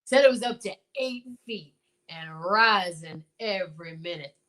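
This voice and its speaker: A woman's voice: fairly low pitch, animated, a little slow, a bit bright. A woman playing a folksy older male small-town marshal, hemming and exclaiming in old-time radio drama style. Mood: urgent.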